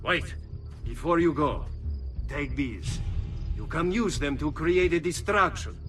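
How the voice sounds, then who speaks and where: An actor playing a helpful, urgent ally giving directions in a video game, sounding off mic. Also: male voice, on the high side, expressive, a little slow, a bit bright, distant mic, soft background music.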